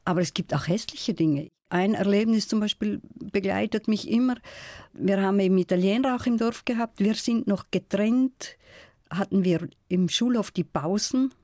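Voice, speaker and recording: Mellow-voiced South Tyrolean woman, dry studio. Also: mid-range voice, no echo. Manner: thoughtful, measured, storytelling. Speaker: guest, folklorist. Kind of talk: radio interview.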